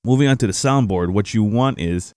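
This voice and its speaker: Low-voiced man giving a casual tutorial. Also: fairly quick, a little mellow, no room sound. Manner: informal, explanatory, addressing viewers. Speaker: tutorial host, gaming streamer. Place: indoor home streaming setup. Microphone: close boom-arm microphone.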